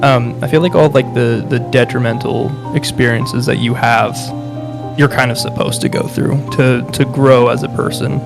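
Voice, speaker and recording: A man's voice: mid-range voice, fairly even intonation, normal speed, vocal fry. A young man with a Midwestern accent, talking in a casual, reflective way with lots of fillers and restarts. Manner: hesitant, many fillers and restarts, laughs often. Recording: music playing behind.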